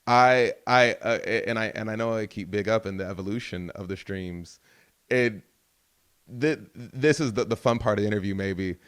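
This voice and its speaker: A man hosting a casual interview. He talks excitedly, full of slang, and often restarts his words. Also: fairly low pitch, moderate pace, clean tone. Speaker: podcast host.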